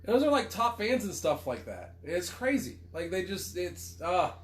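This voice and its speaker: A man co-hosts a livestream while stuffy with a cold, his voice sitting a little high. He rambles loosely, swears offhand and jokes at his own expense over a laptop mic. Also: sing-song, unhurried. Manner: rambling, swears casually, self-deprecating jokes. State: congested with a cold. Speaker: livestream co-host. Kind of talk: casual livestream chat.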